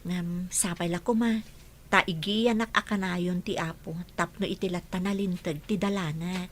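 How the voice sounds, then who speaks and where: A woman's voice: fairly low pitch, fairly even intonation, normal speed, natural voice. A woman playing a devout, cheerful young woman in a radio drama, speaking earnestly and sincerely with an Ilocano accent.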